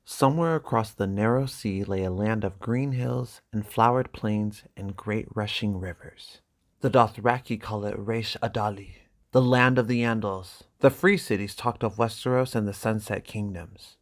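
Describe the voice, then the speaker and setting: A man's voice: lowish voice, normal speed. Man reading a story aloud. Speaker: narrator. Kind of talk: dramatic reading of a graphic novel.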